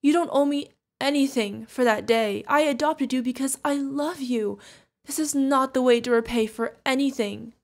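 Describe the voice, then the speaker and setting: Woman acting as a protective witch mentor, high-voiced. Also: moderate pace. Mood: distraught, scolding. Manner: emotional, commanding, affectionate, pleading. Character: protective witch mentor, fierce yet tender adoptive parent. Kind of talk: ASMR fantasy roleplay acting.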